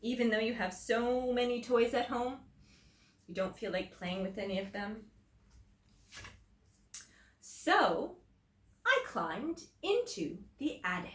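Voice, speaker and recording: A woman teacher reading a picture book aloud to children, explaining simply and deliberately and putting questions to her listeners. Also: fairly high pitch, expressive. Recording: roomy echo, distant microphone.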